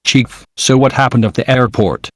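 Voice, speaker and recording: A man's voice: mid-range voice, moderate pace, husky, no room sound, clean studio sound, close to an everyday voice. A man playing a local television news anchor reading a scripted newscast. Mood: formal.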